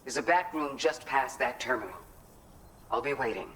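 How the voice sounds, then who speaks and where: A discreet man played by an actor speaks at a middling pitch in a video game drama. He is polite and deliberate, as if keeping things private. Faint ambient noise runs behind him. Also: a bit bright, natural voice, rough recording. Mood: guarded, polite. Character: discreet, courteous man asking a favor.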